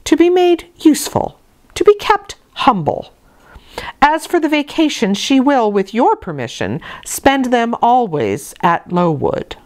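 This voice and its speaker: A reader puts on an imperious, disapproving lady of a classic novel, a cold aunt figure. She speaks formally and haughtily, her pitch swooping widely. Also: sing-song, unhurried, darkish tone. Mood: haughty. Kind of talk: dramatized audiobook reading.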